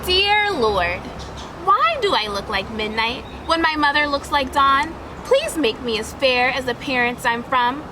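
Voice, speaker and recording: A woman's voice: high voice, lively intonation, a little slow, background noise. A woman voicing an earnest, sad little girl from a storybook, praying in a pleading, recited way.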